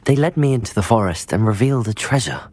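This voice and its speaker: An actor playing a polite, composed young student wizard in a video game, speaking with a British accent and courteous restraint. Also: male voice, fairly low pitch, lively intonation, fast talker, a little mellow.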